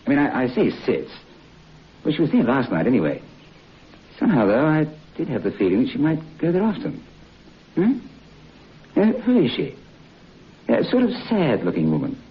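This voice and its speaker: A lively, chatty hotel guest. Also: male voice, mid-range voice, moderate pace, long pauses. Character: curious, friendly male English hotel guest. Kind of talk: old radio drama acting. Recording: dull old radio recording.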